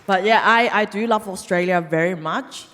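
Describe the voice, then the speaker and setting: A young woman from Hong Kong doing a stand-up set on stage, delivering deadpan punchlines and laughing at her own jokes. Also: medium pitch, normal speed, a faint crowd. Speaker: stand-up comedian. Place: comedy club stage. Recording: live venue with long reverb. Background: audience laughter.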